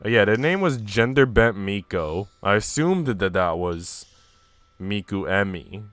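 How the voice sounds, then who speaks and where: A man's voice: fairly low pitch, expressive, a little slow, fairly bright tone, no echo. A man live streaming in an informal, slangy way, moving between casual chat and reading aloud.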